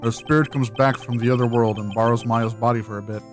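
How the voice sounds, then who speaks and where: An anxious young male defense lawyer from a visual novel, voiced by a man. The voice is mid-pitched, earnest and hesitant, full of pleading questions. Faint music plays behind. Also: steady tone, quick pace, close to an everyday voice. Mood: reflective. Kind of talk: fan voice-over of a visual novel game.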